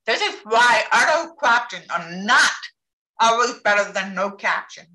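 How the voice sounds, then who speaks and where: A woman with a deaf accent presents over a video call, her voice bright and her pitch rising and falling a lot. She speaks carefully, and the room around her sounds echoey. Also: lively intonation, measured pace. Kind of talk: live talk on captioning. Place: home office with bookshelves.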